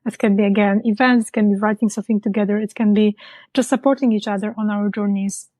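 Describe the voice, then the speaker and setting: A woman from Poland, a business founder speaking as an interview guest in a reflective way, pausing to search for words. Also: medium pitch, fairly even intonation, a little mellow, no echo. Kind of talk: conversational interview.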